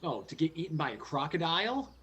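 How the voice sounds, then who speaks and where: A man's voice: on the high side, big pitch swings, a little fast, bright, forceful tone, slightly rough, heavily acted. A man playing an anxious, skeptical young son in a radio play, stammering and full of questions. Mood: sulky. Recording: noisy recording with rain-like hiss.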